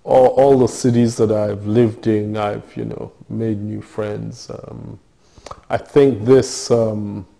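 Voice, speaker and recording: Man, middle-aged, dark timbre, hesitant, interview. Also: on the low side, steady tone, slow, gravelly. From Nigeria. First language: Yoruba. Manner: thoughtful, hesitant, many fillers and restarts. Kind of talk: oral history interview.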